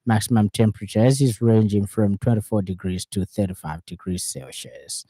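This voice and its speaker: A man's voice: fairly low pitch, normal speed, mellow, no echo. A man with a Zambian accent reading a scripted radio news bulletin in a studio.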